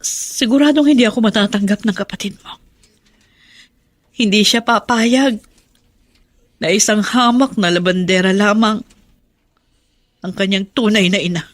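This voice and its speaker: A humble middle-aged mother played by an actress in a radio drama. Her medium-pitched voice is husky and breathy, and she speaks gently and imploringly, as one used to sacrifice. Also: normal speed, pauses often, lightly acted. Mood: sorrowful, secretive. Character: humble, forgiving, self-sacrificing laundrywoman mother with a secret. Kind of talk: Filipino radio drama acting.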